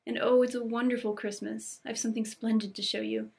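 A woman's voice: fairly high pitch, flat delivery, a little fast, vocal fry, a little mellow. A woman voicing an effusive, romantic young girl in a storybook read-aloud, full of earnest exclamations. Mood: excited. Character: excitable, dreamy, romantic young girl, gushing with delight.

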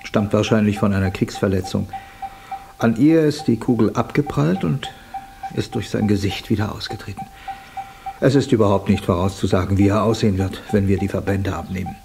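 Low, creaky, blunt doctor. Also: male voice, a little fast, over music. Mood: bluntly clinical. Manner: matter-of-fact, dry. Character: frank, composed male surgeon, dryly blunt. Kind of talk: German radio play acting.

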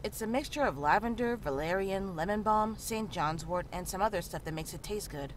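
A woman playing a calm, frank town healer in a horror visual novel, speaking in a measured, reassuring way. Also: mid-range voice, close to an everyday voice, poor audio. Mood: reassuring, practical. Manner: measured, matter-of-fact, reassuring. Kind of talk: visual novel character dialogue. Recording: clearly audible background noise, ambience.

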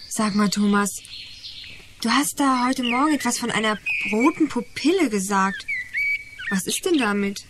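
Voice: medium pitch, lively intonation, normal speed, lightly acted. A performer playing an inquisitive, sceptical schoolgirl who talks insistently in a children's radio play. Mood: probing, sceptical. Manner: questioning, insistent. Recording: noisy radio play with birdsong.

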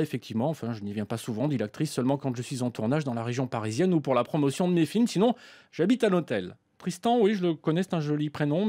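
A man's voice: a little high, dark tone. A middle-aged man presenting a scripted book review in a radio studio, reading passages aloud. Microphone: studio microphone, headset monitoring.

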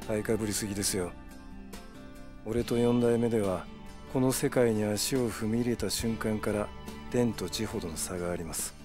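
An actor playing a stern, composed yakuza man in a Japanese video game, speaking in a measured, restrained way. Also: on the low side, darkish tone, lightly acted. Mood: humble, restrained. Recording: music behind the voice.

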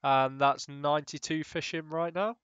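A man's voice: a little high, fairly even intonation, normal speed, fairly bright tone, clean tone, dry recording. Young guy with a cold, nasal, rambling game commentary, um a lot, kinda dull dry mic. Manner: rambling, many ums and restarts, swears casually. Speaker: gaming YouTuber. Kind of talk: casual gameplay commentary.

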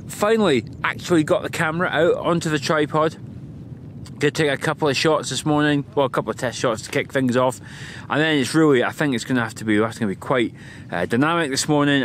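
A man's voice: mid-range voice, fairly bright tone, slight background noise. A man in his forties hosting an outdoor vlog on a hilltop, talking to a camera held at arm's length in a chatty, upbeat, self-mocking way. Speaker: vlog host, landscape photographer. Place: open windy hilltop outdoors.

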